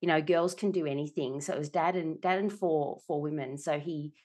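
A middle-aged Australian woman from Melbourne, chatting warmly as an interview guest. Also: deep voice, brisk. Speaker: guest, company director. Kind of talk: conversational interview.

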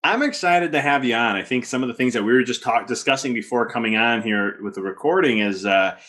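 A man's voice: mid-range voice, expressive, brisk, bright, forceful tone. A man hosting a podcast, earnest and upbeat, with occasional fillers as he introduces and asks questions. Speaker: podcast host.

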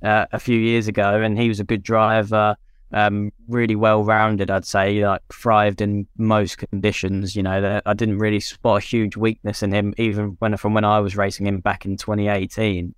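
A young man talks casually as a podcast co-host, drifting through his points with plenty of "you know"s. His voice sits a touch low and comes across smooth and clear. The recording is dry, with no room sound behind him. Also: level tone, moderate pace, no room sound. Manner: conversational, many "you know" fillers. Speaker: podcast co-host, former racing driver. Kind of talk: casual chat.

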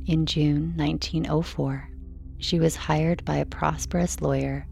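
A woman reading a scripted sleep story in a slow, soothing narration style. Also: low voice, fairly even intonation, creaky, darkish tone, soft background music.

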